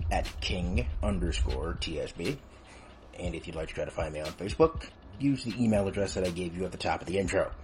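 A man's voice: deep voice, darkish tone. A man hosting a podcast and interviewing in a casual, conversational style.

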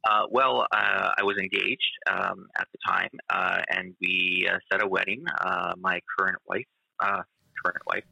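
A male teacher talking in a casual conversation, halting and full of fillers. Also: deep voice, little pitch movement, creaky, pushed and bright, slightly rough, no echo, low-quality recording. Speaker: teacher and guest.

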